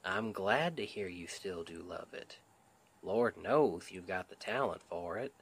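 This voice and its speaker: A man's voice: sits low, lively intonation, slightly rough, full character voice. A man playing a sweet, protective Southern husband with a Southern US accent, speaking in a folksy, soothing way in an intimate ASMR roleplay. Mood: reassuring, earnest. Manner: folksy, tender, unhurried, soothing.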